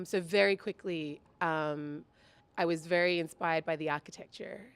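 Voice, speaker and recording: Lower-voiced woman designer. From Australia. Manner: thoughtful, soft-spoken, many fillers. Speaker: costume designer. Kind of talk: casual interview answers.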